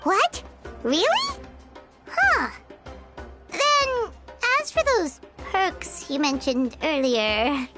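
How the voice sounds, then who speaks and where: A woman voicing a tiny, excitable, food-loving fairy companion in a video game, with a squeaky childlike voice and bubbly manner. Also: extremely slow, pauses often, loud music behind the voice, exaggerated voice. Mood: hopeful. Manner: refers to self in third person, bubbly.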